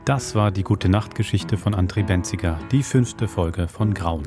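A man's voice: low voice, normal speed. A man with a Swiss German accent presenting and reading aloud as a podcast host. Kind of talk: presenting credits, reading listener stories, chatting. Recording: loud music under the voice.

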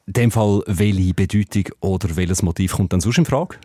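A Swiss German man puts prepared questions as a radio journalist. His voice is fairly low and the sound is dry and close. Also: normal speed, mellow, no echo.

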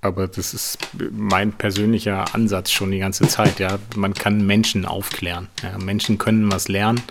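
Low, creaky-voiced man explaining things. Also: flat delivery, normal speed, very noisy. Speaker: financial expert being interviewed. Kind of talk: explanatory interview talk. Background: music.

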